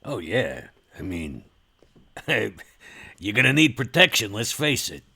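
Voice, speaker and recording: A man voicing a rough, swaggering bodyguard in a tabletop game, with a raspy, drawling, cocky delivery. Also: lowish voice, sing-song, slow, bright, forceful tone, exaggerated voice. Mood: cocky, amused.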